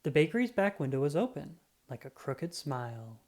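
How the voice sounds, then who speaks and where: Animated storybook narrator with very lively pitch. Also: moderate pace. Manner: expressive, dramatic, acts out sound effects. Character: self-important cat detective telling his tale. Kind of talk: children's picture book read-aloud.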